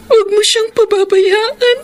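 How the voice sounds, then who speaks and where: High-voiced, sorrowful Filipino woman. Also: steady tone, measured pace, faint music behind. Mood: tearful devotion. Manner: melancholy, emotional. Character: sorrowful, self-sacrificing woman storekeeper in love. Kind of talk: radio drama acting.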